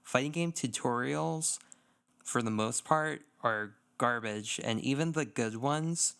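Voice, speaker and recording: Male podcast co-host, clear and gravelly-edged, measured explainer in relaxed conversational style. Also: mid-range voice. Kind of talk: casual gaming podcast chat.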